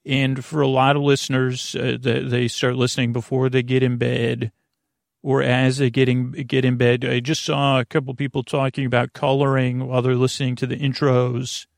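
A gravelly-voiced man hosts a sleep podcast, drifting from thought to thought in a halting, unhurried ramble. He keeps catching and correcting his own words. The sound is dry and close, with no room around it. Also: mid-range voice, flat delivery, no echo. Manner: meandering, halting, self-correcting, drowsy. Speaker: podcast host. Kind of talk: rambling bedtime podcast intro.

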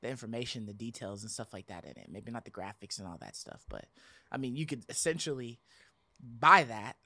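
A man's voice: mid-range voice, sing-song, a little fast, vocal fry, sharp tone. Casual, slangy man on a gaming podcast. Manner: slangy, sweary. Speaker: podcast co-host.

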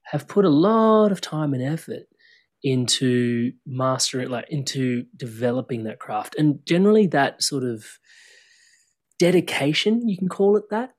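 A man's voice: fairly high pitch, big pitch swings, measured pace. A man hosting a podcast, introducing and interviewing a guest in an effusive, rambling way. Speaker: podcast host.

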